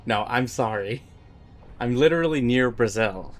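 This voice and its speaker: A man's voice: mid-range voice, normal speed. A man streaming a racing game, chatting casually with viewers, thinking aloud and apologizing as he guesses. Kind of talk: casual livestream chat while playing a racing game. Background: game music and engine noise.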